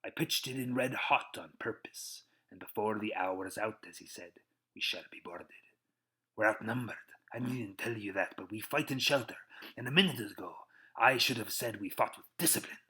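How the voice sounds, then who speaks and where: A man's voice: lowish voice, normal speed, pushed and bright, low-quality recording. A man voicing a stern, proud sea captain who speaks with clipped authority, as in an adventure storybook. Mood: steady, resolute. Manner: clipped, authoritative orders and defiance.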